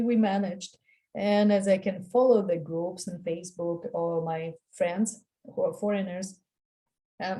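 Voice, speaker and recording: Mellow-voiced Slavic woman telling stories. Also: medium pitch, rough recording. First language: a Slavic language. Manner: animated storytelling with quoted voices; frank, swears casually. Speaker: guest. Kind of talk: casual interview chat.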